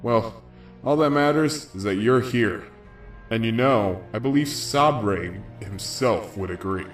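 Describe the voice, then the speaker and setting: A man's voice: lowish voice, takes it slowly, recorded from a distance. Man as an easygoing wry cartoon ally, echoey. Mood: warm, surprised. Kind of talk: comic dub voice acting. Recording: echoey, off-mic, music underneath.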